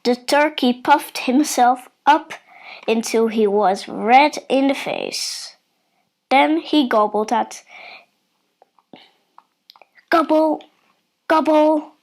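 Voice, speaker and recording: A woman reading a children's bedtime story in a deliberate, expressive narration. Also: a little high.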